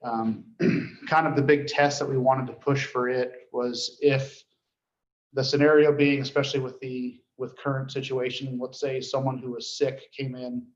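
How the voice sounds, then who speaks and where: Male, mid-pitched, informal meeting reporter with halting delivery, dull echoey room sound. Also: little pitch movement. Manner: halting, frequent throat clearing. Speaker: dispatch director reporting to board.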